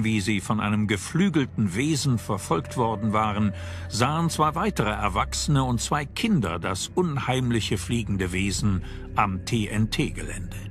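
A man narrates a documentary from a script. His voice sits at a medium pitch, and music plays clearly behind him. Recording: loud music under the voice.

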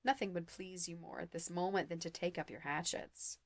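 A woman voicing a cruel, gloating male sorcerer in a slow, silky, menacing manner. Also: lowish voice, steady tone, no echo, natural voice.

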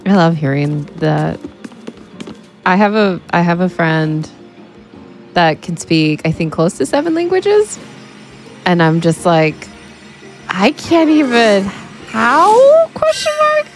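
A woman's voice: on the low side, big pitch swings, slow, long pauses, soft background music. A woman chatting casually as a commentator, rambling along with lots of filler words. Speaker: speedrun commentator. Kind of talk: casual chat.

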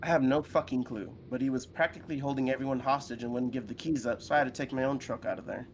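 Guy doing a blunt lizard dude from a visual novel, curt, medium pitch, bit of noise behind. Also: fairly even intonation, fast, close to an everyday voice. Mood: matter-of-fact. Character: blunt, gruff male lizard friend in a game. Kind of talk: voice-acting visual novel dialogue.

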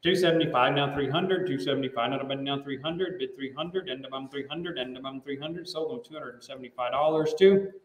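A man's voice: medium pitch, brisk. A middle-aged American man working as an auctioneer, calling bids in a repetitive, rhythmic live auction chant. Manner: repetitive rhythmic bid-calling with filler phrases. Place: large indoor auction room. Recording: roomy, picked up at a distance.

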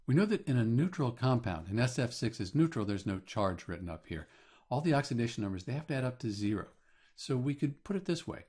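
A man with a husky voice narrating an explanatory tutorial as a teacher, step by step in plain words. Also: mid-range voice, very animated, brisk, darkish tone. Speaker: chemistry teacher.

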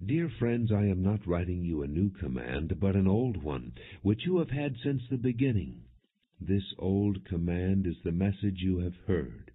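A man narrating an audio Bible in a measured, solemn reading with deliberate phrasing. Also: sits low, expressive, breathy edge. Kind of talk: non-dramatized Bible reading. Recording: muffled, phone-like narrow-band audio.

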